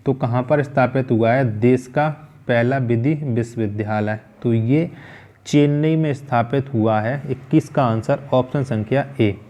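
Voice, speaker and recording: A man's voice: mid-range voice, mellow. A man teaching an exam-prep quiz lesson, methodically reading out questions, repeating them, then giving the answers.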